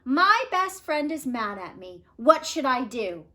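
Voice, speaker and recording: A woman's voice: sits high, big pitch swings, unhurried, bright, forceful tone, heavily acted. A woman voicing an eager, oblivious little male bird who talks in a chirpy, boastful way for a storybook. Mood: worried. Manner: chirpy, boastful, self-absorbed.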